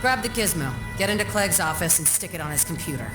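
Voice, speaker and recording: A woman voicing a tough, shrewd wasteland leader in a video game, with a brisk, commanding, streetwise manner. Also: lowish voice, expressive, natural voice. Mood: brisk, scheming. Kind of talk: video game cutscene acting. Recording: roomy echo, music behind.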